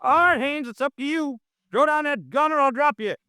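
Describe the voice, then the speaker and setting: An actor playing a tough, commanding, grim police detective in a 1950s radio drama. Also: male voice, very high voice, moderate pace, pushed and bright, no room sound, studio quality. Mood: shouted command. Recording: noisy vintage radio drama.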